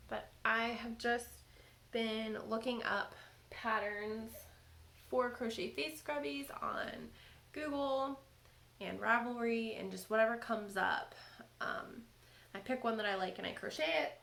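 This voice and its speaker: A woman hosting a knitting podcast, chatting casually as she shows her projects. She is stuffy from a cold and talks in a relaxed way with frequent ums. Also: a little high, vocal fry. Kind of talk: casual show-and-tell chat. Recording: echoey room with faint background noise.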